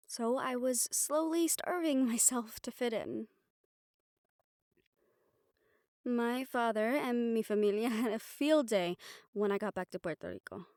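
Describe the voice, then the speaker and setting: A woman playing a gentle, caring young banshee in an intimate audio roleplay, speaking softly and soothingly, with some phrases trailing into a whisper. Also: a little high, no echo, clean studio sound. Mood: fond, reminiscing. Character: gentle young female banshee from Puerto Rico, caring crush. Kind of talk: intimate audio roleplay acting, one-sided conversation.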